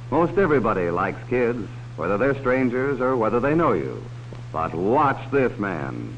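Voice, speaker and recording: A folksy, cautionary man narrating a 1950s children's safety film. He speaks straight to the young audience at a middling pitch. The old soundtrack is dull, with faint noise. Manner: folksy, direct address to children with leading questions. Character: cautionary male adult narrator warning children about strangers.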